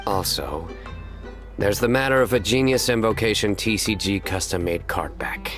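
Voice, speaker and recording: Guy voicing stern deadpan game character, low formal voice, music behind him. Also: normal speed, natural voice. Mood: serious. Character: stern, serious young man with deadpan humour. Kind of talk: video game voice acting.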